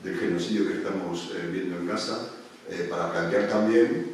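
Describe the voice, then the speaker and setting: A man's voice: deep voice, steady tone, moderate pace, slight background noise. A man, giving a hesitant public talk full of fillers at a union meeting. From Argentina. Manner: hesitant, many restarts and fillers. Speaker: panel speaker. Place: meeting room at a union headquarters. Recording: echoey room, speaker far from mic.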